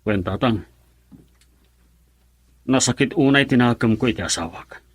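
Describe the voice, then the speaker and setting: A man with an Ilocano accent and a husky voice playing a stubborn, wounded middle-aged farmer husband in a radio drama. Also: mid-range voice, unhurried, no echo. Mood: aggrieved.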